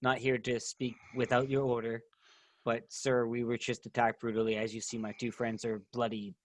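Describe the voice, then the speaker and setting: A man voicing an indignant young male adventurer who complains in a hurried way. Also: mid-range voice, level tone, slight background noise, lightly acted. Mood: urgent.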